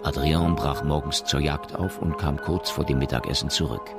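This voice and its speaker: A man's voice: extremely low pitch, steady tone, moderate pace, vocal fry, loud music behind the voice. A man reading scripted narration as the narrator of a science fiction radio drama.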